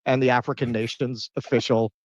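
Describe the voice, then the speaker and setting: A man's voice: medium pitch, little pitch movement, brisk, no room sound, highs cut off. A man reading a news summary and adding dry, mocking commentary.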